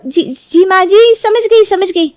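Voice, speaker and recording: A woman's voice: very high voice, measured pace, dry recording, voice pushed up. A woman voicing a playful, lazy young bride with a bubbly, childlike manner. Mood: flustered.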